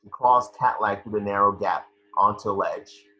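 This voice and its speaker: A man reading scene descriptions at an amateur table read, with a husky voice. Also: lowish voice, normal speed, bright, forceful tone, highs cut off.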